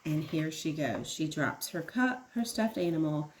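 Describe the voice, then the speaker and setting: A woman preschool teacher giving an expressive read-aloud for young children, adding simple explanations and questions, with emphasis on key words. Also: sits low, measured pace, a little hiss or hum, low-quality recording.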